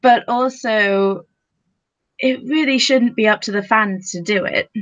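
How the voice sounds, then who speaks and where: A woman speaking as a podcast panelist in a casual discussion, with a halting, thoughtful delivery. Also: a little high, no echo, dull audio.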